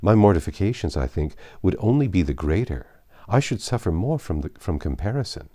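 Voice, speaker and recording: A man voicing a reserved, polite young woman in a classic novel reading, formal and quietly firm. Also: sits low, very animated, fairly quick. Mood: earnest, restrained.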